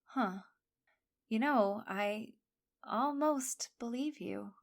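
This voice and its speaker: A woman's voice: on the high side, drawn-out words, gravelly, full character voice. A woman playing a slender, helpful but wary schoolgirl in an audio drama, eager yet hesitant.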